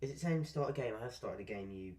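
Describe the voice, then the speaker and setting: A man talks through his game on stream in a loose, rambling way, cursing at it from time to time. His voice sits at an ordinary middle pitch. Faint keyboard clicks and typing come through behind him on his desk mic. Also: expressive, fast, mellow, a little hiss or hum. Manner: chatty, swears at the game, rambling asides. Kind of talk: casual live-stream commentary. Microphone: desk microphone.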